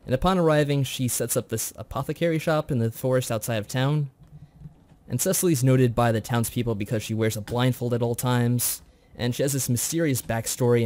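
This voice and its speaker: A man's voice: medium pitch, darkish tone. A man co-hosting a review show, recapping a story in a chatty, informal way. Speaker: co-host of a visual novel review show.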